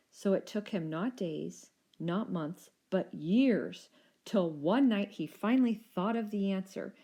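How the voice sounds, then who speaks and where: Low-voiced woman reading a children's story. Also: mellow. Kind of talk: expressive children's story narration.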